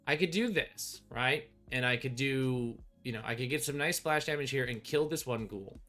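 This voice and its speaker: A man's voice: medium pitch, moderate pace, a bit bright, faint music behind. A middle-aged man gives casual, wry play-through commentary on a solo tabletop game, thinking aloud as he goes. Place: small indoor room with bookshelves.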